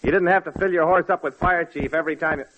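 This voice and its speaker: A man's voice: fairly high pitch, fairly even intonation, fast, heavy background noise. A man working as announcer and comic sidekick on a 1940s radio show. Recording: muffled 1940s radio recording.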